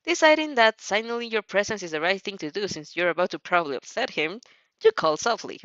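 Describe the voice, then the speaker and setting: A woman giving an expressive, giggly story narration with spontaneous reactions, often stumbling over her words. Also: mid-range voice, fast, no room sound, lo-fi sound. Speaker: fan fiction reader and reactor.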